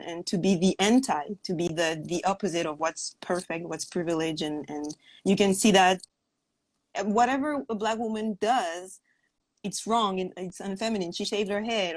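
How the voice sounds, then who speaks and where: Lowish-voiced woman, halting and dry-sounding. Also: dry recording. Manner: halting, searches for words, repeats phrases. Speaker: caller on a discussion show. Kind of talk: casual, reflective opinion.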